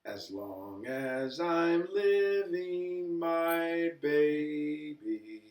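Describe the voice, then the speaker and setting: A man voicing a devoted storybook mother, singing a tender lullaby in drawn-out, singsong phrases. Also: on the high side, animated, a little mellow. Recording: echoey room, distant from mic.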